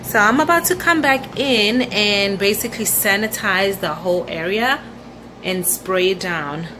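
Slow-paced woman vlogging. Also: mid-range voice, sharp tone, slight background noise. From South Africa. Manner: unhurried, repeats phrases. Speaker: travel vlogger. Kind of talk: casual vlog chat to viewers. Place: indoors in a rental home.

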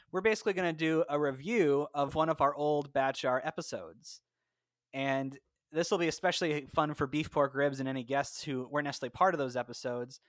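A man's voice: fairly high pitch, fairly bright tone, no room sound. A man hosting a podcast in a conversational style on a close mic. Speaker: podcast host. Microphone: close personal mic, remote recording.

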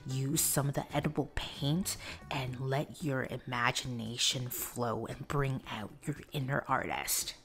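Low breathy whisper lady talking food, calm n descriptive. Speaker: food vlogger. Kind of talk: whispered food review voice-over.

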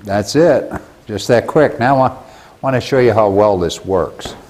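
A man's voice: lowish voice, measured pace, darkish tone, a little hiss or hum. A middle-aged man with an American accent, giving a casual tool demonstration to camera in a plain-spoken, folksy way. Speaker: presenter of how-to videos for a store. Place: plain indoor workshop room. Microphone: lapel mic.

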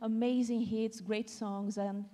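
A woman's voice: on the high side, level tone, slow, warm tone. A middle-aged Brazilian woman with an accent, a guest singer chatting graciously on a theater stage into a handheld mic. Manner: gracious, laughs often, simple phrasing. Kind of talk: live stage chat. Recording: stage voice in a reverberant hall.

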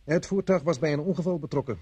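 A man's voice: on the high side, fast talker, dark tone, breathy edge. A man acting in a radio play as a dutiful traffic policeman giving an official, precise, slightly hesitant report.

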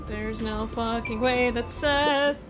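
A woman reacts to a game in a high voice. She exclaims, repeats phrases and swears in excitable group-chat style, with music clearly behind her. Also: very slow, rough recording. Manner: exclamatory, repetitive, profane.

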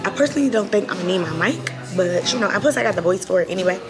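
A young woman chatting casually and excitedly in slang as a vlogging hostess, recorded on a camera at arm's length in an open salon. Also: medium pitch, racing. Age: young adult. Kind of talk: casual vlog chat. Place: indoors in a large open salon. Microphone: camera mic at arm's length, no clip mic. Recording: loud music over the voice. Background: music.

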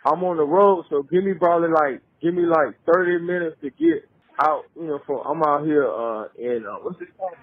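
A man with a husky voice in casual phone talk, reassuring and repeating his phrases. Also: fairly high pitch, fairly quick, no room sound. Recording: tinny phone line.

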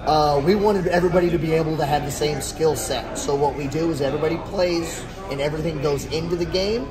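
A middle-aged man with a fairly high voice explains a game in a casual pitch. He is recorded across a table in a busy indoor space, with music clearly audible. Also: fast talker, rough recording. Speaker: card game creator giving a demo. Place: busy indoor convention hall.

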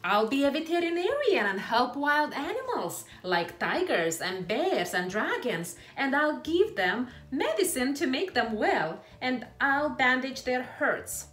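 A woman voicing a confident, imaginative, defiant little girl in a storybook, with emphatic, drawn-out words. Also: high voice, very animated, fairly bright tone, pitched above the performer's own voice, exaggerated voice. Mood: dreamy, excited. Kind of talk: children's picture book read-aloud. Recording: roomy echo with music behind.

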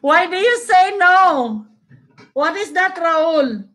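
A woman's voice: very high voice, expressive, takes it slowly, fairly bright tone. A middle-aged woman with a Filipino accent, hosting a live stream from her home kitchen and chatting casually with viewers. She laughs often and mixes English with Cebuano, talking into a laptop mic. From Philippines. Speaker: host of a live cooking stream. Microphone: laptop webcam mic at arm's length. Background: guitar playing.

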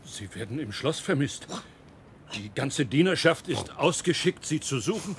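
An actor playing an anxious, formal, obsequious royal servant in a radio play. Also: male voice, medium pitch, very animated, normal speed, slight background noise. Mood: deferential, concerned.

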